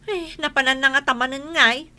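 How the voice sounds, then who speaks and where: A woman's voice: sits high, normal speed, pushed and bright. A woman playing a practical, reproving grown daughter in a comedy radio drama, insistent and matter-of-fact.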